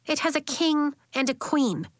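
A woman's voice: sits high, unhurried, no room sound, studio quality, pitched above the performer's own voice. A woman voicing a stubborn, indignant young girl in a children's audiobook, speaking emphatically and insistently. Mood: tight, formal.

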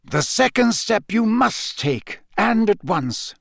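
An old wizard of great authority acted out by a male audiobook reader speaks with solemn urgency. The pitch is lifted and moves widely on stressed words, each phrase measured and emphatic. The storybook recording is close and dry. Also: very animated, gravelly, no echo, heavily acted. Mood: urgent. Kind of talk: audiobook character voice.

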